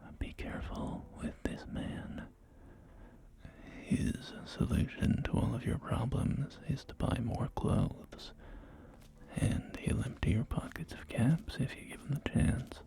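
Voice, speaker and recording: A man's voice: medium pitch, slow pace, gravelly. A man performing a whispered ASMR roleplay as a courteous real estate agent, speaking in polite, hesitant sales patter, with music behind him. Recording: whisper under loud background music. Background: music and game audio.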